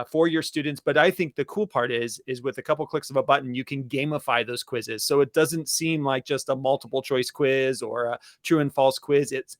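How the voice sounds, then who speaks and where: A man's voice: fairly high pitch, fairly bright tone, no room sound, clean studio sound. A man co-hosting an educational webinar in a casual presenting style, talking directly to listeners. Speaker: podcast and webinar co-host.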